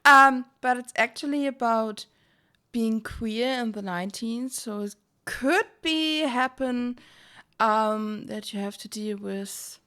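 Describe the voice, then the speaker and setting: Slow-paced, high-voiced excitable woman streamer. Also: smooth. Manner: excitable, giggly. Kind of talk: casual livestream chat.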